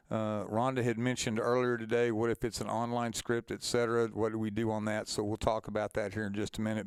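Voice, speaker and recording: Clear-voiced man, informal webinar presenter. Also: medium pitch, no room sound. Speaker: organization official co-hosting an informational webinar.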